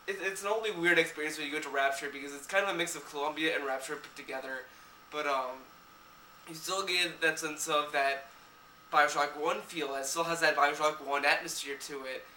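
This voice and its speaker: A man's voice: on the high side, bright, forceful tone, reverberant, distant mic, a little hiss or hum, poor audio. A young man, a little sick, giving a rambling casual review of a game, with many restarts and fillers.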